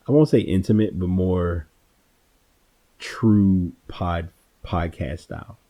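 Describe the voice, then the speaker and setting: A man hosting a solo podcast, speaking in a casual, reflective, unscripted way, often halting and repeating words, with a husky voice. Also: deep voice, dark tone. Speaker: podcast host.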